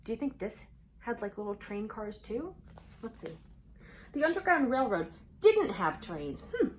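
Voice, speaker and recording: A woman reading a children's storybook aloud expressively, pausing for conversational asides and questions to young listeners. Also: fairly high pitch, very animated, slight background noise.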